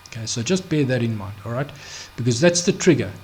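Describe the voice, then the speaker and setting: A man teaching a Bible study off the cuff, halting, full of restarts, fillers and "okay?" check-ins. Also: medium pitch, expressive, slight background noise. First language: not Hebrew. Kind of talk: unscripted screen-share Bible study.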